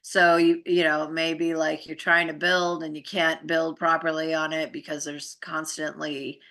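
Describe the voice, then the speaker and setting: A woman's voice: low voice, flat delivery, a little slow, bright, forceful tone. A woman explaining things in casual conversation, in an earnest, informal, rambling way with frequent check-in phrases.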